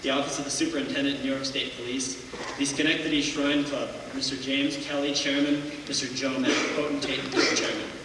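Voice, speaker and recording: A young college singer giving halting onstage thanks, with many ums and uhs. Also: male voice, mid-range voice. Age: young adult. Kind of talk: casual onstage speech. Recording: echoey hall, mic far off, loud noise.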